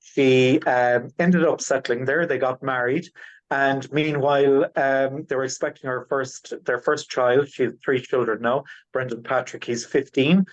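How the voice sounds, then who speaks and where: Rambling Irish tour guide. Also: male voice, mid-range voice, steady tone, a little slow, muffled recording. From west of Ireland. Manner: rambling, many fillers and restarts. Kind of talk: webinar presentation.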